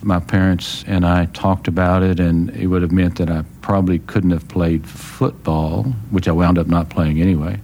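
A man from small-town Texas with a Texan accent, giving plain-spoken, measured recollections as an interview guest. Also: deep voice, level tone, warm tone, slight background noise. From small-town Texas, United States. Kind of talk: reflective personal recollection in an interview.

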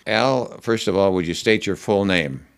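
A man conducting an oral history interview, asking gentle, prompting questions. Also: low voice, normal speed, gravelly, clean tone.

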